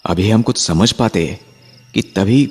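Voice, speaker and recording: A man telling a horror story in the first person, suspensefully, with drawn-out dramatic pauses. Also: lowish voice, a little mellow, breathy edge. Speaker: narrator of a horror story, voicing its main character. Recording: music behind the voice. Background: music.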